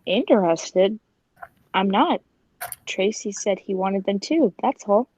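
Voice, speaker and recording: An actress playing a sly, scheming circus tiger tamer in a 1940s-style radio play, speaking in a pointed, probing way. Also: female voice, mid-range voice, lively intonation, takes it slowly, darkish tone, no room sound, poor audio.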